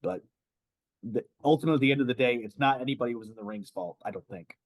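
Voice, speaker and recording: Measured, reasonable podcast co-host. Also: male voice, medium pitch, no room sound. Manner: measured, reasoning, even-handed. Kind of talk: casual wrestling podcast chat.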